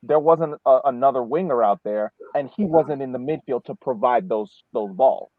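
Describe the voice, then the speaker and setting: A man's voice: medium pitch, moderate pace, dry recording, phone-quality sound. A man co-hosting a sports podcast, chatting casually about soccer and often repeating words as he starts his sentences. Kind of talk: casual soccer analysis chat.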